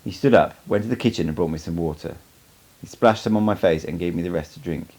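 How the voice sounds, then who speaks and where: A man's voice: low-pitched, fast talker, darkish tone, rough recording. A man reading a first-person story aloud as scripted audiobook narration. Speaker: amateur audiobook reader.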